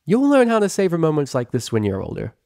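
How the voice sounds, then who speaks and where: Man voicing an earnest, flustered older mare, pitched up. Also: huge pitch swings, fast, creaky, darkish tone, no echo, clean studio sound, exaggerated voice. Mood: fond, exasperated. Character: anxious, affectionate middle-aged female pony.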